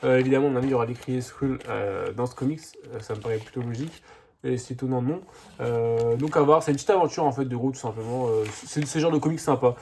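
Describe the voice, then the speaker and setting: A man's voice: mid-range voice, fast, warm tone, a little hiss or hum. A man with a head cold and a slightly sore throat, talking through a casual comics haul video with lots of hesitant fillers. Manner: hesitant, many "euh" fillers and restarts. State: congested, slightly hoarse from a cold. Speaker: comics vlogger.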